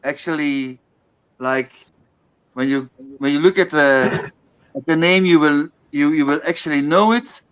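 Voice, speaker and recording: Guy showing his beers, slightly high voice, hunting for words. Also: a little slow, a bit bright, clean tone, poor audio. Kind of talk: casual chat on a video call.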